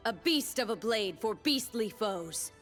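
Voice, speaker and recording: A woman's voice: mid-range voice, animated, measured pace, a bit bright, soft background music. A woman playing a determined, stern young warrior leader in a video game, speaking in solemn, commanding, clipped declarations. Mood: grim, defiant. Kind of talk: video game character voice lines.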